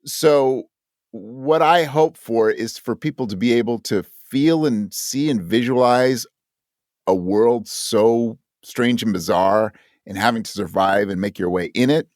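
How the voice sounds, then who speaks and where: A man with an average-pitched voice talks in a very dry recording with no room sound. He is a conversational interview guest, thoughtful and inclined to search for the right word and correct himself as he goes. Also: unhurried, darkish tone, no echo. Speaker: interview guest, audio drama writer.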